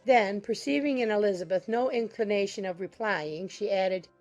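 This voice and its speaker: A woman's voice: medium pitch, moderate pace, darkish tone, soft background music. A woman giving scripted literary narration for a dramatized audiobook.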